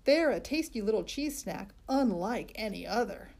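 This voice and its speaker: A woman voicing an earnest little schoolboy who speaks loudly and slowly. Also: a little high, expressive, creaky. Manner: loud, slow, earnest.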